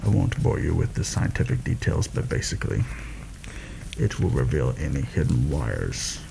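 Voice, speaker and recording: Man playing a very deep, gravelly soft-spoken schemer. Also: very animated, noisy background, full character voice. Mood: conspiratorial, measured. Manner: deliberate, confiding, slightly formal. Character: shrewd, secretive male mercenary plotting a royal assassination. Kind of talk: soft-spoken ASMR roleplay.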